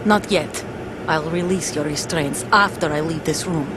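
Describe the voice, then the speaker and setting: A woman's voice: sits low, moderate pace, background noise, natural voice. An actress playing a guarded, stoic female soldier in a video game drama, speaking in a terse, serious, controlled manner. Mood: stern, controlled. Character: guarded female soldier, stoic mother forced into covert service. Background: music.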